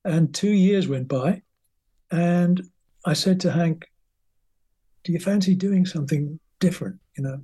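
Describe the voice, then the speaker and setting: Older English man, guest storyteller, rambling and chatty, mellow husky tone, slightly high voice. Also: measured pace, long pauses. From England. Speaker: guest musician. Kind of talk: anecdotal interview storytelling.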